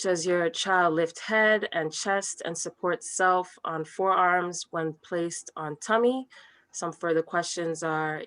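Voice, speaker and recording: A woman with a slightly low voice reads questions aloud from a checklist. She delivers them as scripted items for parents in a facilitator's role. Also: fairly bright tone. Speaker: early childhood program facilitator.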